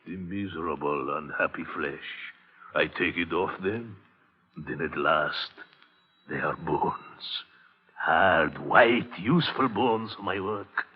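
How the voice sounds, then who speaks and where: An actor playing a crazed old French man in a radio horror play, gloating and wheedling with a French accent and a gravelly voice. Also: low voice, heavily acted. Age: older. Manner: slow, wheedling, gloating, with sudden swoops. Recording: dull lo-fi old radio recording.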